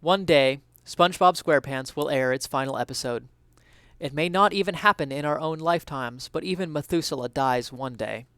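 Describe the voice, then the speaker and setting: Man, smooth clear voice, lightly raised pitch, dramatic essay reading, earnest theatrical phrasing, close dry sound. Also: moderate pace, a bit bright, no room sound. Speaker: reader of an essay.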